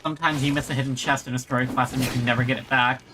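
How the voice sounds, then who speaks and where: A man's voice: mid-range voice, steady tone, racing. A man giving casual, rambling gameplay commentary on a livestream, talking to himself and his viewers. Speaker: video game livestreamer. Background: video game music.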